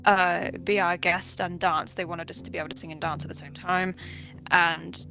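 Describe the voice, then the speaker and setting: A woman's voice: fairly low pitch, flat delivery, normal speed, bright, forceful tone, soft background music, rough recording. A young woman chatting casually, telling stories with swearing and nervous laughs. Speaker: voice actress guest. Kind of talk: casual chat.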